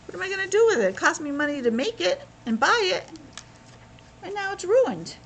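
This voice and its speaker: A woman's voice: very high-pitched, big pitch swings, fairly quick, slight background noise, pitched above the performer's own voice, full character voice, rough recording. A woman voicing a bossy, easily exasperated male construction foreman in an emphatic storybook read-aloud. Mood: wailing, exasperated.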